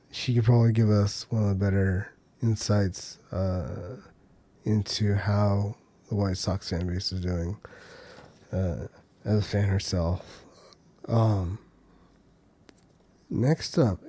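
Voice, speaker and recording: A man's voice: on the low side, slow pace, long pauses, dark tone. A man hosting a podcast, talking through the week's news in a loose, conversational way with many ums and uhs. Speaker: podcast host. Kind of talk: solo casual commentary.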